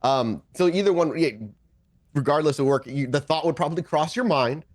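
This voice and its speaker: A man's voice: a little high, normal speed, a little mellow. A man acting as game master, mixing improvised narration with casual, lightly profane table chat.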